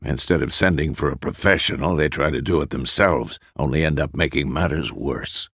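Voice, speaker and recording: A man playing a gruff, weathered old monster-hunter mentor in a video game, terse and dry, with a gravelly voice. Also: very deep voice, normal speed, a bit bright, no room sound, heavily acted. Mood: wry, patient. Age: older. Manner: terse, clipped phrases, dry. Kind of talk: scripted video game character dialogue.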